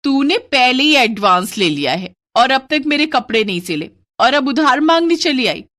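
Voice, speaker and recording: A woman voicing a demanding female neighbour in a moral story, curt and brisk with her words. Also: sits high, big pitch swings, a bit bright, pitched above the performer's own voice. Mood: scolding.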